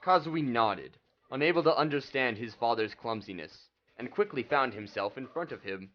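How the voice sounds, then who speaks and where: A man's voice: mid-range voice, animated, normal speed, highs cut off. A man giving scripted dramatic narration as the narrator of a story.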